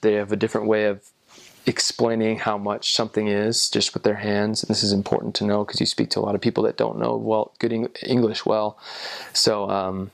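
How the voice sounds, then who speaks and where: A young man and former missionary talking casually to the camera, rambling and halting with lots of ums. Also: fairly low pitch, creaky, no room sound. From United States. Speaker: returned missionary.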